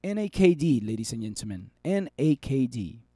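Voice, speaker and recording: A man's voice: medium pitch, very animated, moderate pace, warm tone, dry recording. A man in his thirties streaming live stock chart commentary, chatty and upbeat as he reads viewer comments, his voice worn from talking. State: losing his voice. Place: small room at a desk.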